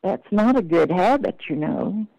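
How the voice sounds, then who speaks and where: A woman's voice: medium pitch, animated, a little slow, dark tone, no echo, dull audio. An older woman talking on the telephone in a plain-spoken, admonishing manner. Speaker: prank call recipient. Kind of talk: phone conversation.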